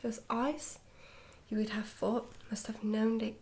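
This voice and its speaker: Soft-spoken woman, half-whispered ASMR reading. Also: fairly high pitch, little pitch movement, measured pace. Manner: gentle, hushed delivery with some stumbles over words. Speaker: ASMR reader, channel host.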